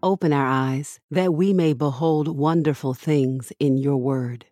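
Very low-voiced woman announcing over loud music. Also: fairly even intonation, a little slow. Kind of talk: scripted podcast narration. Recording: music bed under the voice.

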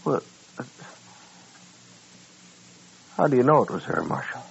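A man's voice: medium pitch, sing-song, creaky, breathy edge, full character voice. Weak, hesitant wounded frontier townsman. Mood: weak, puzzled. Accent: American. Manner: halting, hesitant. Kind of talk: 1950s radio drama acting. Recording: dull, slightly noisy old radio recording.

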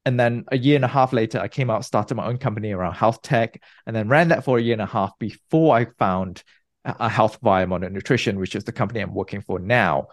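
A man from Malaysia in his thirties, a guest researcher giving measured, explanatory interview answers with a few restarts. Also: fairly low pitch, dry recording, studio quality.

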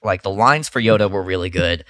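A man's voice: sits low, brisk, a bit bright, dry recording, clean studio sound. A man co-hosting a podcast, chatting casually with informal, emphatic reactions. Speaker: podcast co-host. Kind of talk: casual chat.

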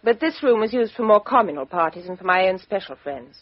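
A woman playing a poised, frank young woman in a 1950s radio drama, crisp and emphatic, with a husky voice on a dull old recording. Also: mid-range voice, lively intonation, a little fast, dry recording, natural voice. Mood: explaining. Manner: crisp, emphatic well-spoken delivery.

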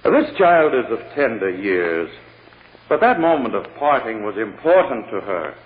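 A forceful courtroom prosecutor in old-time radio style. His delivery swings widely in pitch and is insistent and theatrical. The sound is muffled and band-limited, with a long hall reverb. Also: very animated. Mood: grandly dramatic. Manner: oratorical, insistent, dramatic pauses. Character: forceful, theatrical male prosecutor pressing witness and jury. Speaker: actor in a radio courtroom drama.

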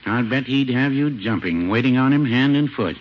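An actor playing a mild, cautious older small-town druggist, folksy and dry, in a 1950s radio comedy. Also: male voice, mid-range voice, moderate pace, lightly acted. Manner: folksy, deadpan, hesitant. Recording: noisy, dull 1950s radio recording.

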